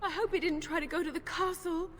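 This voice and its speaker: A woman's voice: extremely high pitch, fairly even intonation, fast, fairly bright tone, a little hiss or hum. A woman voicing a frightened young village girl who is crying, heard with long reverb. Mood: frightened, tearful.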